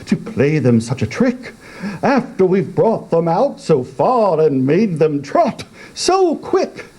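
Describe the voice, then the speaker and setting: A man voicing a pompous, sly walrus who speaks in hesitant, drawn-out oratory, in a comic poetry reading. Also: on the high side, huge pitch swings, darkish tone, voice pushed up, exaggerated voice. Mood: mock sorrow. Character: pompous, sly walrus, falsely sympathetic, hungry for oysters.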